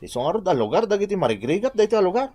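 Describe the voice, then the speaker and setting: A man's voice: sits high, big pitch swings, racing, mellow, breathy edge, exaggerated voice. An actor playing an ambitious, proud young man in a radio drama, speaking with an Ilocano accent. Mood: defiant, scornful. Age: young adult. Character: ambitious, proud young son scorning his poor village.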